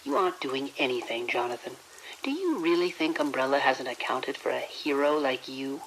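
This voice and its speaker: A woman playing a cold, quietly menacing corporate villain in a horror audio drama, speaking with measured condescension. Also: very deep voice, expressive, slight background noise, rough recording. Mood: condescending, cold. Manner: condescending, measured, veiled threats.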